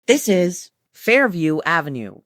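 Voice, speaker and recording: Low-pitched woman making train announcements. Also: very animated, no room sound, studio quality. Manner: formulaic, measured delivery of short set phrases. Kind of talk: scripted automated transit announcements.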